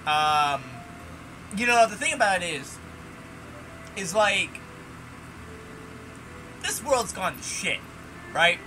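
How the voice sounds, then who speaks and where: A man reading a visual novel aloud and breaking off into animated, sweary commentary. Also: high voice, takes it slowly, pauses often, background noise. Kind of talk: reading game text and ranting commentary.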